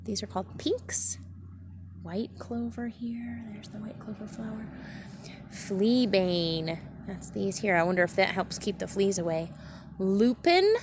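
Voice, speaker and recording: A woman's voice: mid-range voice, lively intonation, unhurried, noisy background. A woman reading a picture book aloud like a teacher, adding simple, chatty explanations for children outdoors in a garden.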